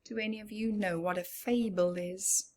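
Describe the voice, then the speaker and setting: A woman reading a story aloud to children as a teacher would, expressive and deliberate. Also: mid-range voice. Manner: expressive, deliberate, questioning the listeners.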